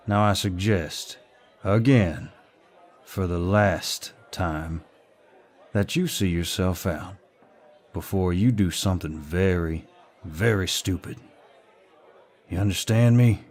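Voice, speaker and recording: A man acts out a courteous, protective Southern gentleman for an audio roleplay. His voice is very low and he takes his time with a slow Southern drawl. He is polite and old-fashioned yet firmly in command, with a faint bar crowd in the background. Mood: stern warning. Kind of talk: roleplay audio acting, intimate and scripted.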